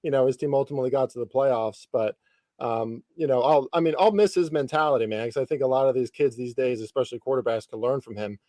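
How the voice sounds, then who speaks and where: A man in his thirties hosts a casual sports podcast. His voice is mellow and relaxed, recorded close and dry. He talks informally, with slang and the odd swear word. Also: mid-range voice, fast, no echo. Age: 30s. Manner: informal, lightly profane slang. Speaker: podcast host interviewing a guest.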